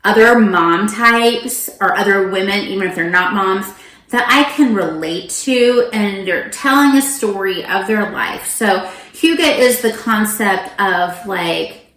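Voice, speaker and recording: A woman's voice: medium pitch, a little slow, a bit bright, reverberant, poor audio. A middle-aged woman chatting casually and animatedly to viewers as a book vlogger, often interrupting herself with informal asides. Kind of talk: casual, chatty monologue to camera.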